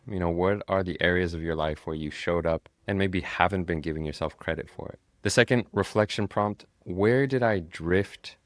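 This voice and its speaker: A man with a very deep voice hosts a motivational self-help show. He speaks in a calm, earnest and encouraging way, and his throat sounds a little rough because he is getting over a cold. The recording is dry and close, with almost no room sound. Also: dry recording. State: recovering from a cold, sore throat. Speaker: podcast host. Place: traveling, recording on location.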